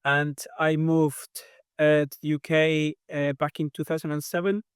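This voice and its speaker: A man from southern Spain with a Spanish accent, answering interview questions in a measured, thoughtful way with many hesitations. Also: fairly high pitch, flat delivery, clear, resonant tone, dry recording, studio quality. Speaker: aerodynamics engineer, guest.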